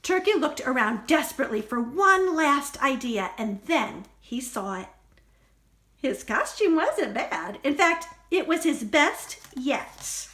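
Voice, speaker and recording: A woman reading a children's picture book aloud as a storyteller, expressive, deliberate and emphatic. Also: high-pitched. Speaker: librarian storyteller.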